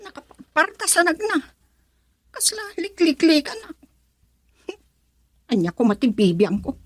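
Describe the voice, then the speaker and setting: A high, very animated actress playing an irritable young woman in an Ilocano radio drama. She is whiny, jealous and emphatic, and the sound is dry and close. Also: a little slow, no echo, full character voice. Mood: exasperated.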